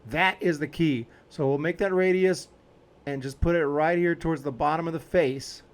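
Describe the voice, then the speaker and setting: A man's voice: on the high side, moderate pace. A man hosting a video editing tutorial, talking casually and informally as he explains each step while clicking along.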